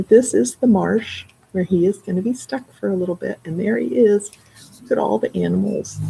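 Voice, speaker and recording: Expressive middle-aged woman, slow storytime reader. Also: medium pitch, creaky, a little hiss or hum, low-quality recording. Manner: expressive, explaining words and asides to young listeners. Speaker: children's librarian reading aloud. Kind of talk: read-aloud storytime with casual asides. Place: indoor library children's room.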